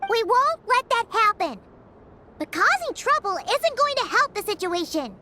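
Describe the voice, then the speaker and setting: Squeaky, excitable little companion. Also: female voice, normal speed, heavy background noise. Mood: excited. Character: tiny, childlike, excitable companion. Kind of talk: English video game voice acting. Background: loud music.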